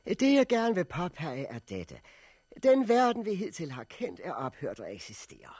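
An actor playing an elderly sociology professor in a 1960s radio drama, giving a formal, deliberate lecture with pedantic, unsentimental authority. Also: male voice, high-pitched, wildly swooping pitch, darkish tone, no echo, studio quality, full character voice. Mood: measured, lecturing. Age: older. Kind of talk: 1960s radio drama acting, public speech.

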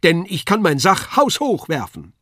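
A man voicing a lively wooden puppet clown in a storybook, exclaiming and whining in rustic dialect. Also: sits high, moderate pace, slightly rough, dry recording, clean studio sound, voice pushed up, full character voice. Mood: boisterous, boastful.

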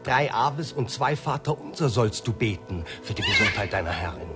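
An actor playing an obsequious, wily manservant in a radio play, with a theatrical, deferential manner. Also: male voice, lowish voice, expressive, normal speed, music in the background, natural voice. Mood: prompting, instructing. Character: obsequious male servant, wily accomplice of a lord. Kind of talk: radio drama acting.

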